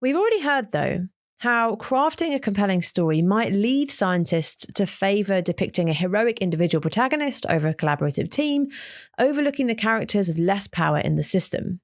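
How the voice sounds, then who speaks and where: Animated woman narrating, dry close sound. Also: mid-range voice, fairly quick, no echo. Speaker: podcast host and narrator. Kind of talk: scripted narration.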